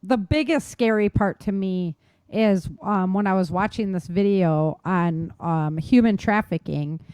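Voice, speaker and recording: A woman's voice: medium pitch, unhurried, a little mellow, smooth, no room sound. A woman co-hosting a talk show in informal, conversational chat. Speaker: show co-host. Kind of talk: casual chat.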